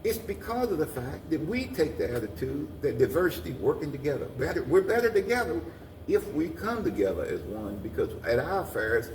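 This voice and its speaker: A man's voice: fairly high pitch, big pitch swings, fairly quick, darkish tone. A man giving earnest, rambling interview answers, often repeating his phrases. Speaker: guest, community organization president. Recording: echoey, distant mic, noisy room.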